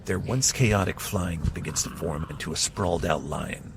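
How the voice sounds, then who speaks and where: A man's voice: low voice, moderate pace, vocal fry. A man playing a solemn narrator, delivering dramatic scripted fantasy storytelling. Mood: ominous. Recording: loud effects noise behind.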